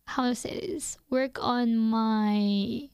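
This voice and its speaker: A young woman with a non-native English accent, hosting a radio show and talking through her thoughts in a relaxed way, hesitating often with fillers and restarts. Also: on the high side, takes it slowly, clean tone, dry recording, studio quality. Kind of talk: reflective casual monologue.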